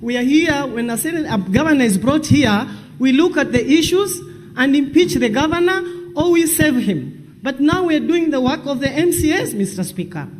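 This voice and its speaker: A man's voice: very high voice, lively intonation, moderate pace. A man with a Kenyan accent making an emphatic, repetitive formal speech as a senator. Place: parliamentary chamber. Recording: long chamber reverb, faint noise.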